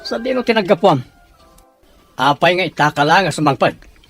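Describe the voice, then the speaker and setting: A man playing a stern, scolding father in an Ilocano audio drama, forceful and reproachful. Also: a little high, lively intonation, normal speed, music in the background. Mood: angry, scolding.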